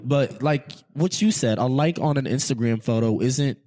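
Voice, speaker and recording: A man's voice: mid-range voice, moderate pace, warm tone. Slangy young man, opinionated podcast host. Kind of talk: casual group chat. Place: studio.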